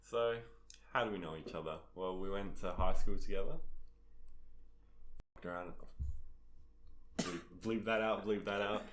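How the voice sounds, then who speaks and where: A young man from Australia co-hosting a casual podcast chat, dropping dry, deadpan one-liners. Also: sits low, measured pace, long pauses, a little hiss or hum. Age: young adult. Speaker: podcast co-host.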